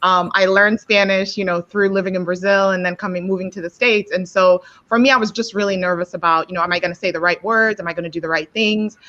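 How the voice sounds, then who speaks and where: A woman's voice: fairly low pitch, sharp tone. A woman from Nigeria and Kenya, a guest chatting casually and upbeat on a live online show. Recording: dull lo-fi sound.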